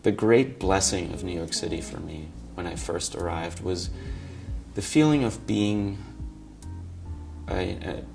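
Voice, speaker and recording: A man from suburban New Jersey giving a documentary interview in a slow, measured and thoughtful way. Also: low voice, creaky, a little mellow, soft background music.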